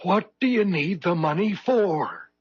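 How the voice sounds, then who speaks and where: An actor playing a skeptical, scolding man in a 1970s radio drama, with a husky voice. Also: sits high, expressive, a little slow, no room sound, heavily acted. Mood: scornful, disapproving. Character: skeptical, scolding man named Jerry, refusing a scheme. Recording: muffled dry vintage radio recording.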